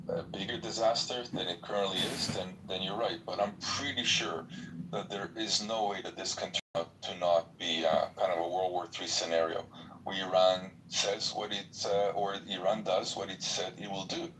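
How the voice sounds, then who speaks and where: A man debating politics as a podcast panelist, earnest and argumentative, often stumbling over fillers. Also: low voice. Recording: distant mic, faint background noise.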